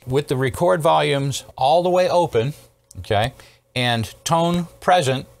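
A man's voice: on the high side, measured pace, creaky. A man in his sixties giving a casual, methodical hands-on technical demonstration as host, often checking in as he explains. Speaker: host, electronics restorer. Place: indoor workshop studio at a workbench.

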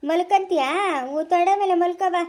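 A woman's voice: extremely high pitch, steady tone, brisk, heavy background noise. A woman or girl voicing a fussy, hard-of-hearing old grandmother who frets and calls out. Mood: fussing. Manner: fretful, scolding, calling out loudly.

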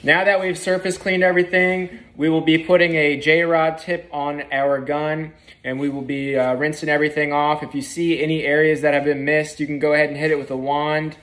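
A man's voice: on the high side, moderate pace. Casual young man explaining his trade. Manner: relaxed, plain-spoken, frequent ums and uhs. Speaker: pressure washing business owner. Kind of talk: casual how-to vlog. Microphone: phone camera at arm's length.

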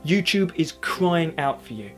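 A man's voice: on the high side, lively intonation, a little slow, fairly bright tone. A man giving scripted commentary in the manner of an online video commentator. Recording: music under the voice.

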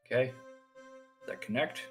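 A man talking casually and haltingly as a player in a tabletop roleplaying game. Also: soft background music.

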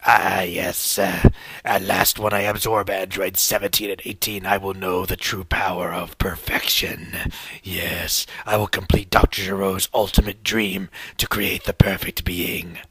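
A man voicing a sinister, hungry android monster in a grandiose cartoon villain manner, with a breathy rasp. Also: sits low, little pitch movement, unhurried, fairly bright tone, no echo, full character voice. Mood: sinister hunger.

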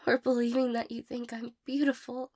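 Woman acting a high, stammering, insecure young woman. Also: flat delivery, moderate pace, darkish tone. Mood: hurt, downcast. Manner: hesitant, stammering, apologetic, breaking into whispers. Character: shy, insecure, tearful young woman being asked out. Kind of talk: intimate romantic audio roleplay acting.

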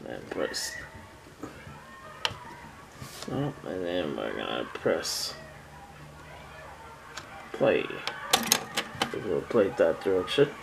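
A man giving a casual, halting product demonstration as a seller, often repeating himself, recorded indoors on a handheld camera. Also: sits low, huge pitch swings, gravelly, very noisy. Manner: halting, repetitive, plain-spoken. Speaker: online seller demonstrating an item. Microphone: handheld camera, speaker off screen behind it.

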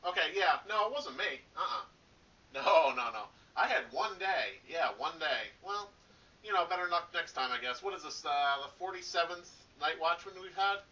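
A muffled, lo-fi, phone-like recording of a man putting on a nervous pizzeria employee for a horror-game parody. His voice is fairly high and quite bright, with very little room sound. He rambles haltingly, hesitating as he offers hollow reassurance to a doomed night guard. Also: animated. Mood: muttering, callous. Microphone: cheap replacement microphone. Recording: dull lo-fi cheap microphone, telephone-like.

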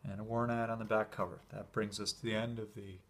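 A man casually talking through an old convention program as he leafs through it, relaxed and enthusiastic, with small fillers and pauses, recorded indoors by a camera filming his hands from above. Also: on the low side. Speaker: collector showing a convention program. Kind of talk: casual flip-through commentary.

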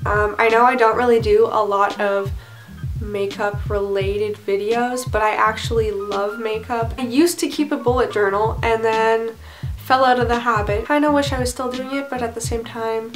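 Teen girl vlogger, high-ish voice, rambling bedroom chat. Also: steady tone, normal speed, faint music behind. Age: teens. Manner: chatty, rambling, self-deprecating. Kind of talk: casual chat to camera. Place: bedroom, indoors.

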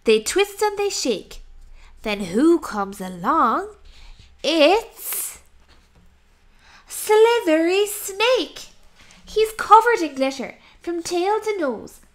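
Animated, high-voiced woman reading a storybook. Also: drawn-out words, pauses often. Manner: expressive, dramatic emphasis. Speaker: storybook narrator. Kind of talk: children's read-aloud narration, rhyming.

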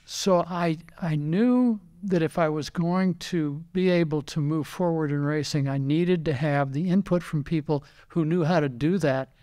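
A man's voice: on the high side, a little slow, few pauses, mellow. An older American man reminiscing casually in an interview, telling anecdotes and acting out remembered dialogue. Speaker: retired racing driver and team owner, interview guest.

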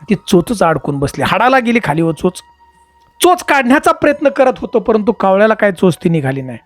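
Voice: mid-range voice, sing-song, brisk, dry recording, faint music behind, lightly acted. A storyteller voicing a patient, learned male astrologer, explaining past-life karma emphatically and repetitively. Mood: earnest, resigned.

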